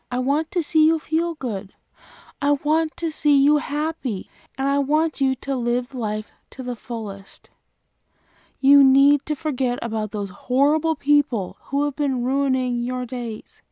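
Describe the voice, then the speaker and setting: A woman playing an affectionate, protective, gently bossy girlfriend in an ASMR roleplay, speaking tenderly and coaxingly. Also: high voice, no room sound, studio quality.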